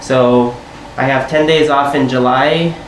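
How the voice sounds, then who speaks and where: An American man in his thirties, a guest chatting casually and warmly, often laughing and rambling as he talks. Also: mid-range voice, unhurried. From United States. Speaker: guest, airline pilot. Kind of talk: casual conversation. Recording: echoey room with steady background noise.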